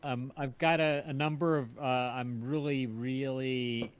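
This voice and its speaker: A man chatting casually in an interview as an audio drama creator, telling animated anecdotes and often checking in with tag questions. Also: medium pitch, measured pace, a little hiss or hum. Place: indoors, on a group video call.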